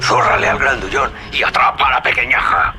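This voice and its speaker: A man's voice: medium pitch, fairly even intonation, brisk, over music, natural voice. An actor playing a sly, scheming middle-aged businessman in a dubbed video game, wheedling and folksy. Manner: wheedling, folksy, calls the listener friend and brother. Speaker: radio guide character in a dubbed video game.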